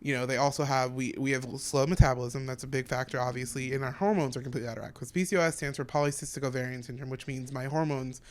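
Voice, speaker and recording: A woman with a deep voice, a stand-up comedian talking frankly as a podcast guest in casual conversation. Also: fairly even intonation, darkish tone. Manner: frank, talks fast in long run-on explanations.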